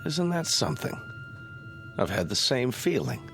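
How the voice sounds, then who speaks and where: A man's voice: medium pitch, sing-song, moderate pace, creaky, over music. An actor in a radio drama playing a chatty American government man, blunt and folksy, with an American accent. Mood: chatty, wry.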